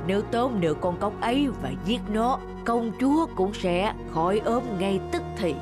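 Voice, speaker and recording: A woman voicing a talking forest animal in an animated fairy tale, explaining things in a measured storytelling way. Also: medium pitch, lightly acted. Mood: confiding. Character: talking forest animals sharing secrets at a meeting. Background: music.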